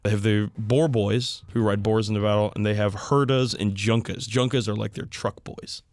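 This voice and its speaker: A man with a fairly low voice hosts a casual hobby discussion. He explains details with geeky enthusiasm, and the sound is close and dry. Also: fairly even intonation, normal speed, a little mellow, dry recording. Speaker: podcast host.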